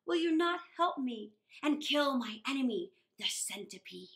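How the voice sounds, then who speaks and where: A man's voice: extremely high pitch, sing-song, measured pace, a bit bright, exaggerated voice. A woman voicing an anxious, pleading old dragon king in a storybook reading, earnest and formal. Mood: fearful pleading.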